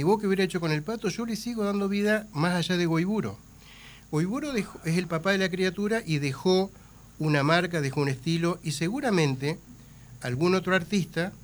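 A man with an Argentine Spanish accent and a flu, talking as an advertising guest in a rambling way with many fillers. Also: sits high. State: has the flu. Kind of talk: casual radio chat.

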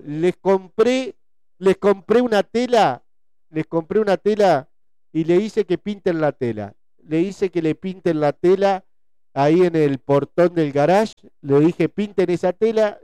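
A man in his forties with a Rioplatense accent and a very smooth, mellow voice, recorded close and dry. He hosts a casual live chat, circling back over his phrases and checking in with his listeners. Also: on the high side, dry recording. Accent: Rioplatense Spanish. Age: 40s. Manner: repetitive, conversational, often seeking agreement with tag questions. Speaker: host chatting with his audience.

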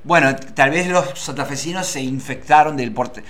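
A man's voice: medium pitch, normal speed, fairly bright tone. A man with an Argentine Spanish accent co-hosting a radio show, bantering casually and slipping into joking, theatrical announcer bits. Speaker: radio co-host.

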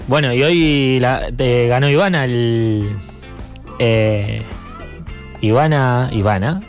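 Argentine male co-host, medium-pitched voice. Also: takes it slowly. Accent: Argentine Rioplatense. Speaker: radio co-host. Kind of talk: casual live radio chat. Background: faint music.

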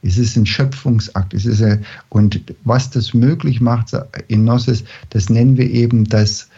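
Slow, halting man giving a lecture. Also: mid-range voice, fairly even intonation, warm tone, breathy edge, highs cut off. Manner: halting, searching for words with many restarts. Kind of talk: online presentation talk.

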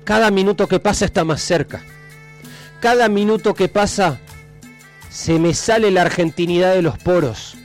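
A man with a Rioplatense accent hosting a live radio call-in show, joking and excitable as he reads listener messages. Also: sits high, moderate pace, soft background music. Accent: Rioplatense Spanish. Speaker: radio host.

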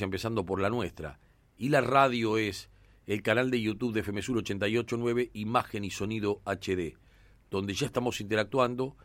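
Low-voiced Argentine sports radio host. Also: male voice, dry recording. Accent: Argentine Rioplatense Spanish. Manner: conversational, fills pauses with "eh" and "este". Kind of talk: sports radio talk and commentary. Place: radio studio.

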